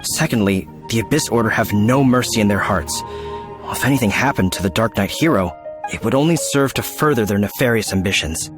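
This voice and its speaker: A man playing an earnest, dutiful knight officer in a video game, formal and measured. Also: lowish voice, expressive, music in the background, lightly acted. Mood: earnest, concerned.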